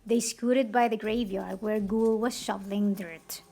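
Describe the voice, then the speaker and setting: A woman reading a children's picture book aloud expressively, in a deliberate, animated storytelling manner. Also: medium pitch, vocal fry, faint music behind.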